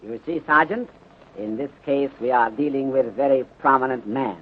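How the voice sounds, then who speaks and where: An actor playing a calm, courteous, bulky detective inspector in a 1930s radio drama, speaking formal, deliberate English with dropped articles. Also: male voice, mid-range voice, close to an everyday voice. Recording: tinny narrow-band 1930s radio recording.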